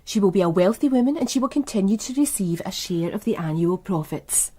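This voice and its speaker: A woman's voice: mid-range voice, expressive, a little fast, mellow, close to an everyday voice. An actress playing a cool, calculating and seductive businesswoman in a radio crime drama, poised, guarded and knowing. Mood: cool, defiant. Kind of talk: naturalistic radio drama dialogue.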